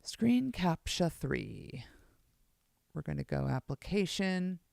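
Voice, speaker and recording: A woman with a low voice, rambling excitably on a casual live stream as she plays bass and fiddles with her gear. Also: slow pace, gravelly, no room sound, studio quality. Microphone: streaming setup mic.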